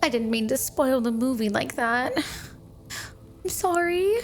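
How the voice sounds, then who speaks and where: Woman acting a high-voiced, clingy snow-woman girlfriend. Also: lively intonation, slight background noise. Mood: sweet, doting. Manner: sugary, coy, affectionate, unhurried. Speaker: ASMR roleplay performer. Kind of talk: ASMR romantic roleplay, addressed to the listener.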